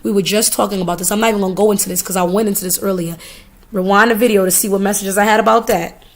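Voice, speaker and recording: A hoarse woman giving a live oracle card reading, talking casually with swearing and repeated phrases. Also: medium pitch, steady tone, racing. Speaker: spiritual reader.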